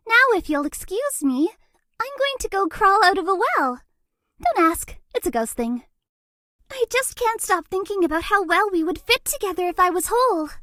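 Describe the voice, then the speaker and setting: A woman's voice: very high voice, a bit bright, no room sound, clean studio sound, exaggerated voice. A woman voicing a shy, sweet, lovestruck young ghost girl for a dating game, with a cutesy, bashful manner. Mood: playful, chatty. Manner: cutesy, bashful, gushing, with hesitant breathy asides. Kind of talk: dating game character voice lines.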